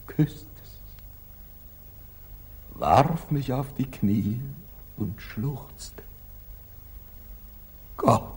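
An actor playing a grave, wise merchant father in a 1940s German radio drama, solemn and declamatory, moving between hushed and fervent delivery. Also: mid-range voice, slightly rough, heavily acted. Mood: anguished, fervent.

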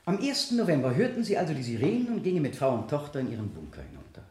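In an echoey radio-play courtroom, an insistent prosecutor. His fairly high voice moves animatedly as he presses each question with theatrical point. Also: wildly swooping pitch, very fast, a little mellow. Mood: accusing, insistent. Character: insistent male prosecutor cross-examining a defendant. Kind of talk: 1970 German radio play acting. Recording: echoey studio courtroom, older radio production.

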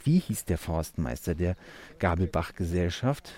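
A man's voice: low-pitched, animated, a little slow, a little mellow. A man speaking observations in a spoken-word style on a forest walk. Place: outdoors on a forest trail.

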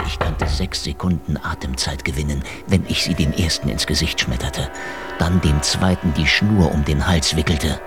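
An actor playing a cynical, hard-drinking KGB investigator in a noir radio drama, sardonic, deadpan and foul-mouthed. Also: male voice, rumbling low. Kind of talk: noir radio drama, first-person narration and dialogue. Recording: music behind the voice. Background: prominent music.

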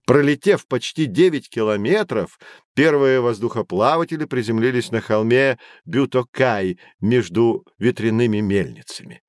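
An actor playing a knowledgeable, patient guide who teaches children in an educational audio drama, with a didactic, emphatic delivery. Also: male voice, mid-range voice, expressive, normal speed, no room sound, clean studio sound, lightly acted. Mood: storytelling.

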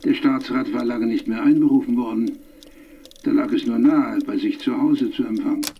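Dignitary recalling a visit, gravelly, storytelling, radio play. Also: male voice, medium pitch, normal speed, dull audio. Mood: recounting. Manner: recounting, storytelling.

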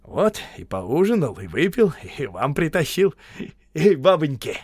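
A sly village vagabond, played by a male reader, pitched well up from a natural register. He boasts and teases in crude, rustic speech, in a dry, close storybook recording. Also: very animated, unhurried, a little mellow, breathy edge, no room sound, full character voice. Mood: boastful. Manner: colloquial rural speech, boastful, teasing. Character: sly, brash male peasant tramp, wheedling and crude.

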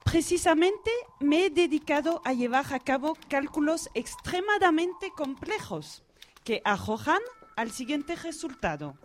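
Actress for self-assured lady professor, grandiose and very melodic, high register, parody radio drama. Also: sing-song, a little slow. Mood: pompous, assured. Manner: pompous, theatrical. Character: pompous, self-assured female professor of astronomy. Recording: noticeable background noise.

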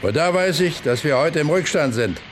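A man's voice: fairly high pitch, animated, moderate pace, a little hiss or hum, lightly acted. An actor in a radio drama playing a stoic, plain-spoken older factory foreman, blunt, dry and unyielding. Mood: dry, stern. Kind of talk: German radio drama acting.

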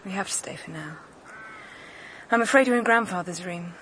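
A woman's voice: lowish voice, normal speed, background noise, natural voice. An actress playing a guarded, dutiful young woman in a radio drama. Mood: guarded, resolute.

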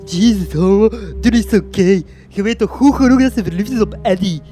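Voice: medium pitch, fairly quick, dark tone, lightly acted. An actor playing a rude, mocking teenage boy who taunts and jeers in a radio drama. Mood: jeering. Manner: taunting, jeering. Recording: music behind the voice.

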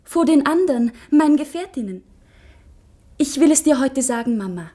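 An anxious young schoolgirl in a literary radio drama. The voice is high and girlish, confiding in earnest, intense phrases. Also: expressive, moderate pace, warm tone. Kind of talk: literary German radio play acting.

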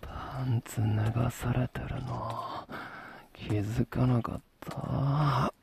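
A male actor playing an obsessive young stalker in an audio drama, coaxing softly in a breathy whisper, very close to the microphone. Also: medium pitch, steady tone, background noise. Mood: teasing, soothing. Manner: slow, coaxing, soft-spoken.

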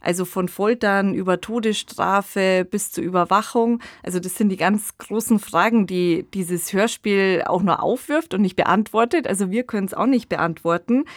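Medium-pitched woman co-host, very dry sound. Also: no room sound. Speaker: podcast co-host. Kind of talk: conversational analytical discussion.

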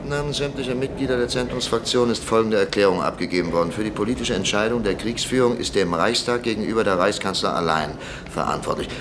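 An actor playing an agitated middle-aged professor and politician in a radio drama, in a declamatory manner. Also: male voice, on the low side, fast, few pauses, noisy background, lightly acted. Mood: declaiming, tense.

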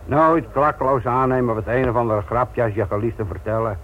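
An actor playing a gruff, sardonic senior police detective lecturing trainees in a radio play. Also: male voice, medium pitch, steady tone, a little fast, lightly acted. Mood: sarcastic, stern. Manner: sarcastic, authoritative, lecturing. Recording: muffled, noisy old radio play recording.

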